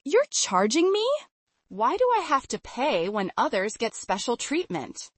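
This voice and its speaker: Woman acting angry female bakery customer, entitled, accusing, high animated voice, story narration. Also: unhurried, dry recording. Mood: outraged. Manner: indignant, accusing.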